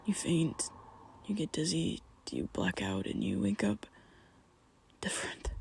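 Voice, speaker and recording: A man voicing a young male vampire best friend in an ASMR roleplay, whispering softly and haltingly as he confesses. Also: a little high, big pitch swings, vocal fry, exaggerated voice. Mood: hushed confessional. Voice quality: soft close whispering.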